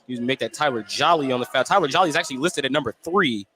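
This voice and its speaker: A man's voice: medium pitch, animated, racing, pushed and bright. A man doing live basketball play-by-play for radio, describing the action in running detail and correcting himself as he goes. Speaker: play-by-play sports commentator. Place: indoor basketball gym. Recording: noisy live gym ambience.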